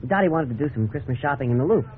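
An American young man acting a polite, earnest, excitable teenage boy in a 1950s radio drama, with exclamations and youthful slang. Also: medium pitch, racing, close to an everyday voice. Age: young adult. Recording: hissy, tinny 1950s radio recording.

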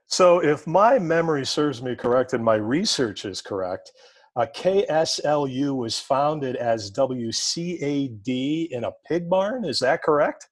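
A man's voice: mid-range voice, expressive, unhurried, no room sound. A man hosting a podcast, speaking in emphatic, motivational phrases as he presents and asks questions. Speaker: podcast host. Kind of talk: podcast monologue and interviewing.

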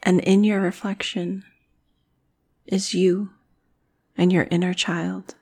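A woman guiding a meditation in a soothing, breathy manner, with phrases often trailing off into a whisper. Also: fairly low pitch, little pitch movement, takes it slowly, a little mellow. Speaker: meditation guide. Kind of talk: guided meditation narration.

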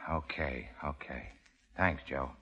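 An actor playing a menacing, vengeful gangster with curt, snarling American talk in a 1930s radio crime drama. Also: male voice, rumbling low, drawn-out words, creaky, bright, forceful tone, husky, heavily acted. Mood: grim. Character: menacing, vengeful male gangster, hard and suspicious. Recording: dull lo-fi 1930s radio recording.

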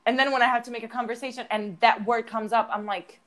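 A woman's voice: fairly high pitch, steady tone, quick pace, fairly bright tone. A young woman with an American accent, an actor who teaches English, talking casually and hesitantly with many restarts and fillers. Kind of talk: casual chat.

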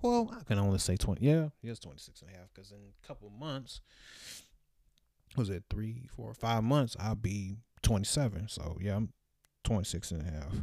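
Young man in his 20s, low mellow voice, casual rambling vlogger, dry close sound. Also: sing-song, moderate pace, dry recording. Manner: loose, reflective, lots of fillers.

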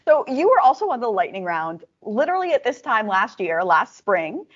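A woman's voice: a little high, big pitch swings, normal speed, highs cut off. A woman hosting an interview podcast, encouraging and upbeat with frequent fillers. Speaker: podcast host.

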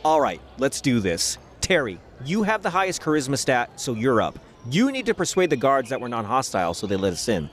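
A man voicing a casual, game-savvy adventurer in an animated comedy, explaining things in a laid-back way. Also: medium pitch, fairly quick, hardly any pauses, a little hiss or hum, close to an everyday voice. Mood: confident, explaining.

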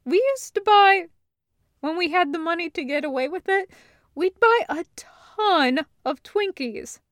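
A woman's voice: very high voice, expressive, measured pace, a bit bright, no echo, studio quality, pitched above the performer's own voice, heavily acted. A woman voicing a brash, affectionate, sweary sister in an audiobook, with a casual, teasing manner. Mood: fond, nostalgic. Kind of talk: acted dialogue in an audiobook reading.

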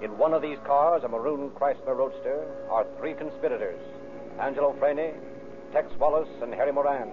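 A man's voice: mid-range voice, moderate pace, background noise. A man announcing and narrating in formal, theatrical, emphatic phrasing, in 1930s radio style. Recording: tinny old radio recording.

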